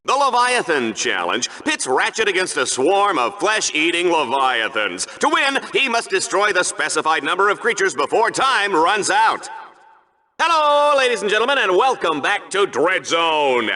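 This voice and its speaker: Brash, high-pitched, hammy sportscaster. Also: male voice, sing-song, normal speed, sharp tone, heavily acted. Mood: hyped up. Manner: bombastic showman delivery. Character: brash, hammy male game-show sportscaster, gleefully bloodthirsty. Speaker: arena show commentator. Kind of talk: sports commentary in a video game.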